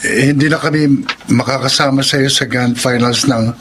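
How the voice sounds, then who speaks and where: A man's voice: mid-range voice, fairly even intonation, moderate pace, fairly bright tone, a little hiss or hum, natural voice. A man playing a sheepish young boyfriend, hesitant and stammering in a Filipino radio drama. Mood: sheepish, sorry. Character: sheepish, guilty young boyfriend owning up to betrayal.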